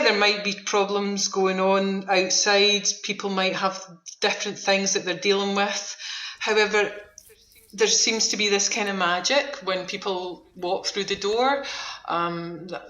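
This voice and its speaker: Bright-voiced woman in an echoey room. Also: medium pitch, steady tone, unhurried. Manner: enthusiastic, emphatic, repeats words for emphasis. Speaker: veterans' activity centre manager, interview guest. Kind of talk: conversational radio interview.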